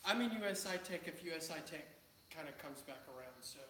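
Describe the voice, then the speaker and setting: A soft-spoken man with a slightly high, bright voice joins a livestream as a guest, hesitating often with ums. He sits a little away from the laptop mic. The room is echoey with a faint hiss. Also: expressive, a little fast. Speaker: guest co-host. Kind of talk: casual livestream chat.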